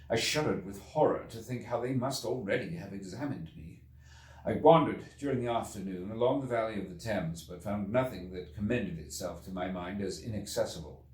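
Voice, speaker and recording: A man voicing an earnest Victorian gentleman time traveler recounting his ordeal in a storybook reading, formal, brooding and deliberate. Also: lowish voice, close to an everyday voice. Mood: grave, fearful, then reflective. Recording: echoey room, distant from mic.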